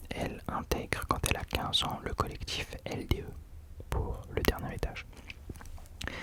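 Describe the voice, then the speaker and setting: Guy doing asmr, all whispered, casual slangy chat, kinda hesitant, close to the mic. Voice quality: whispered, unvoiced. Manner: informal, hesitant, slangy, self-correcting. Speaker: ASMR host.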